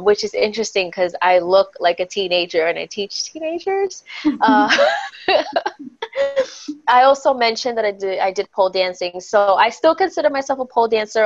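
A woman's voice: mid-range voice, no echo. A woman in her twenties, born in the Dominican Republic and raised in the Bronx, telling stories as a guest on a video-call podcast in a candid, bubbly way with frequent laughs, speaking into an earbud mic at home. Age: 20s. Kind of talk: casual storytelling on a video call. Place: small home bedroom indoors. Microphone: wired earbud mic on a video call. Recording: dry, dull lo-fi video call audio.

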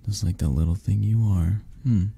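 Very deep, mellow, tender dragon boyfriend played by a man. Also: creaky, husky, heavily acted. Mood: teasing, affectionate. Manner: soft, teasing, reassuring, hesitant pauses. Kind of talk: intimate ASMR roleplay. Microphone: close, dry microphone.

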